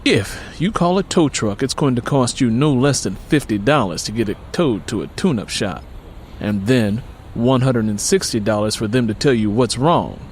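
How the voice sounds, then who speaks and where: A man's voice: medium pitch, animated, moderate pace, slight background noise, natural voice. A man voicing a streetwise, sly handyman in an audiobook, casual, slangy and persuasive. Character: streetwise, sly male handyman sizing up a deal.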